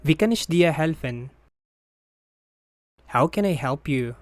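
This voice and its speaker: A teacher reading short phrases for learners, saying each one deliberately and repeating it. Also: medium pitch, animated, no room sound, clean studio sound. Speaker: language teacher.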